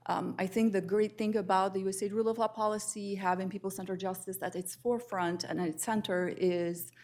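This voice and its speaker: A woman from Albania speaking as a panelist on justice and international development, earnest and measured, building her points in lists. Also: medium pitch, fairly even intonation, darkish tone. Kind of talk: panel discussion remarks.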